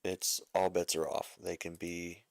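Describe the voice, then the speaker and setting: Man, arranging teacher, slow and unhurried, gravelly low voice, thinks aloud with fillers, dry close mic. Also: flat delivery, no echo. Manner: thinks aloud, many fillers and self-corrections. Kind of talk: casual screen-share music lesson.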